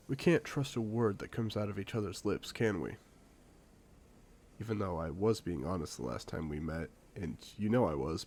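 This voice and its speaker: A man playing a suave, confident secret agent in a spy audio drama, speaking in a playful, theatrical, deliberate way. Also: lowish voice, darkish tone, slight background noise, lightly acted. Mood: playful, cryptic. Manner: smooth-talking, playful, theatrical, deliberate phrasing. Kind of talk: scripted one-sided audio roleplay, spy drama.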